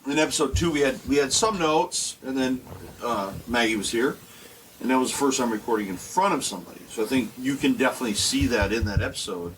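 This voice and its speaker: A man's voice: mid-range voice, fast talker, a bit bright, slight background noise, rough recording. A man in his forties co-hosting a casual podcast, talking in a rambling way with many restarts. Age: 40s. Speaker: podcast co-host. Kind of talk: casual chat. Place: basement.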